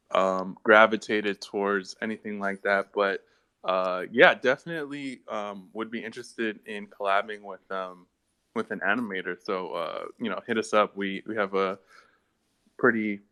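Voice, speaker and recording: A man chatting casually and slangily, pausing often as he searches for words. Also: on the low side, pushed and bright, smooth. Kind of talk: casual chat.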